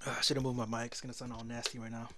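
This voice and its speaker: A man's voice: medium pitch, flat delivery, racing, background noise. A young man with a slightly worn voice rambling through casual, sweary let's play commentary. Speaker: gaming YouTuber. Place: indoor room at home. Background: wrestling game audio, loud music.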